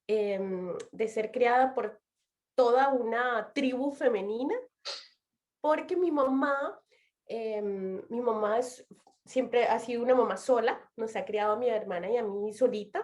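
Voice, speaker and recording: A young Costa Rican woman speaks in a fairly high voice, taking her time over each thought. She tells her own story with a Costa Rican Spanish accent and frequent light laughs. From Costa Rica. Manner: unhurried, laughs often, warm pauses. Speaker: interview guest. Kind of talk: relaxed personal storytelling.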